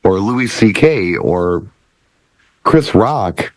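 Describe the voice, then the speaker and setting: Middle aged dj guy w a lowish voice, very dry sound, chatting with little pauses. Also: lively intonation, no echo. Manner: deliberate pauses, measured phrasing. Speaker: DJ and talk show panelist. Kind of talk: casual chat.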